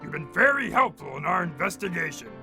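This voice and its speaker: A man's voice: high voice, very animated, fairly quick, vocal fry, full character voice. A man doing a brash crocodile detective. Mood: confident. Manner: brash, bossy. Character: brash loud male crocodile detective, bossy. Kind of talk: fan comic dub. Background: background music.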